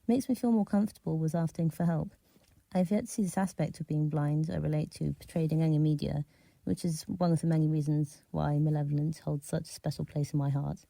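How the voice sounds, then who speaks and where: Female contributor reading a personal essay; very mellow, soothing, with a creaky edge. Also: low-pitched, fairly even intonation, brisk, dry recording, low-quality recording. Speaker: listener contributor. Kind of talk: prepared personal essay read aloud.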